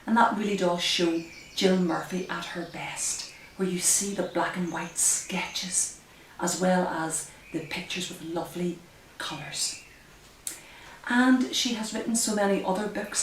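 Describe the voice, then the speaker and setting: A woman leads a children's story time at a slow, careful pace, pausing between short phrases. Her voice has an ordinary pitch and sounds roomy and a little distant, over faint hiss. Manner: deliberate, careful phrasing with pauses. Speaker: community librarian, storyteller. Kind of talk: read-aloud story time for young children.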